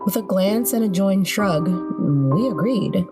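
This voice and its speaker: A woman's voice: mid-range voice, lively intonation, takes it slowly, music in the background. A woman reading scripted narration as the narrator of a horror podcast.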